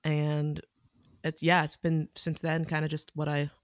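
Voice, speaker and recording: A woman in her thirties, a musician guest on a podcast, talking in a laid-back way with soft laughs and many ums. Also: low-pitched, monotone, measured pace, no room sound, clean studio sound. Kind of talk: casual interview chat.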